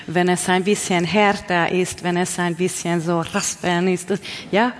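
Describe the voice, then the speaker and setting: A woman's voice: lowish voice, little pitch movement, unhurried, slight background noise. A woman with a Hungarian accent, a poet answering interview questions in a reflective, searching way, often restarting phrases. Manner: searching, restarts phrases, occasional article slips. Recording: long hall reverb.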